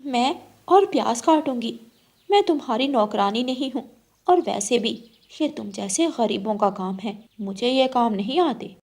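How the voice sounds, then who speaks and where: A rich, snobbish young female bird from a cartoon acted out by a woman. She is lazy and disdainful. Her voice is high and a touch breathy. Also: expressive, a little slow, a little mellow. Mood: haughty. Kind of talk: Hindi cartoon story acting.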